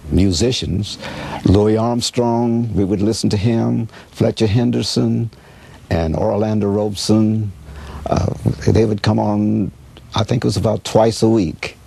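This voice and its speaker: An older American man in his seventies or beyond, reminiscing in an interview in a halting way, searching for details. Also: fairly low pitch, gravelly, dark tone, breathy edge. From Chicago, United States. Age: 70s or older. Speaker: radio veteran being interviewed.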